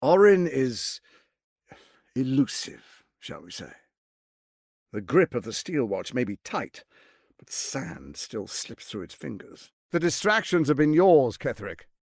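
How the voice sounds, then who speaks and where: A man playing a smooth-tongued, condescending tyrant lord in a video game, with a pompous, theatrical delivery and deliberate emphasis. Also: medium pitch, sing-song, a little mellow, dry recording, studio quality, heavily acted. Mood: measured, menacing.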